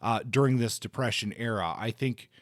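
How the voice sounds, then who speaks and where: Guy hosting a podcast, chatty measured review talk, medium pitch, no room sound. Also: no echo, clean studio sound. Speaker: podcast host.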